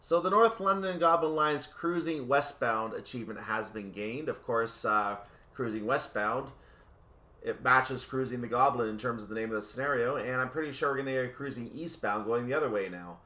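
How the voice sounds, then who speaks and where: A man's voice: normal speed, far from the mic. A man giving unscripted commentary as he plays a train simulator, thinking aloud and explaining each step. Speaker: gaming video host. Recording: roomy, off-mic, steady hum and rumble.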